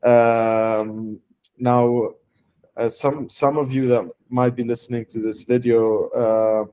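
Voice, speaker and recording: Halting young founder, many fillers. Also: male voice, mid-range voice, level tone, mellow, clear, resonant tone. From Lebanon. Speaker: startup founder. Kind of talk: testimonial interview.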